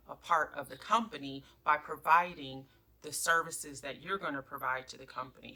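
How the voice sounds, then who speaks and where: A woman's voice: deep voice, pushed and bright. A woman presenting instructional career tips as a human resources coach, stressing headings and key phrases emphatically. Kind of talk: instructional advice talk, numbered tips.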